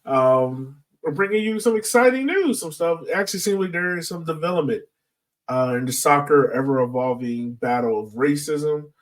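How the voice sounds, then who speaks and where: A man's voice: a little high, lively intonation, moderate pace. A man hosting a podcast in a casual, informal, slangy way. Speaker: podcast host. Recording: slightly distant from the mic.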